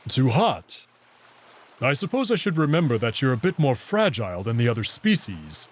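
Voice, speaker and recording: A man voicing a self-assured, teasing male wolf character from a visual novel, casual and gently bossy. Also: mid-range voice, sing-song, normal speed. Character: confident, teasing male anthropomorphic wolf, commanding yet caring.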